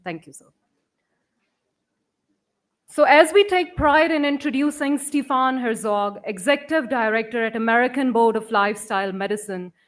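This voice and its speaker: A woman emceeing a conference, introducing speakers and guests in a polite, ceremonial manner. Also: fairly high pitch. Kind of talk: formal stage announcing.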